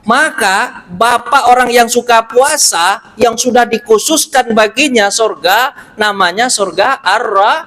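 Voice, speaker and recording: A man's voice: extremely high pitch, slow pace, pushed and bright. A middle-aged man with a Pariaman Minangkabau accent giving a short mosque sermon, joking and quizzing the congregation with questions. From Pariaman, West Sumatra, Indonesia. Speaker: preacher and university lecturer.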